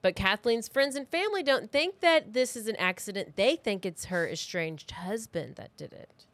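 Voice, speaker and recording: A woman's voice: medium pitch, lively intonation, normal speed, fairly bright tone. A woman hosting a true crime podcast, telling the story in a casual, conversational way with informal, blunt asides, close to the microphone.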